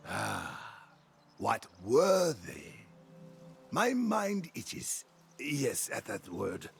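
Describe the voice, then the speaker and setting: Aged cryptic hermit for a fantasy game: gravelly, halting, haunted, widely swinging pitch. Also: male voice, a bit bright, exaggerated voice. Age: older. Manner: halting, brooding, rambling. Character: cryptic, haunted old hermit fisherman, muttering riddles. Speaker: video game character. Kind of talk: dramatic game cutscene acting.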